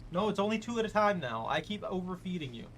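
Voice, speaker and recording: A young man streaming a tank game, giving playful, teasing commentary with loud exclamations. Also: high-pitched, expressive, fast talker, noisy background. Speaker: gaming streamer. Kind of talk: live gameplay commentary.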